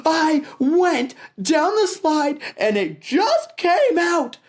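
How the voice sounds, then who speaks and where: A woman plays an anxious, embarrassed small boy in a read-aloud storybook. The voice is pitched high and strained, swinging about as he blurts out defensive, pleading lines. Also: sing-song, extremely slow, full character voice. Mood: upset outburst.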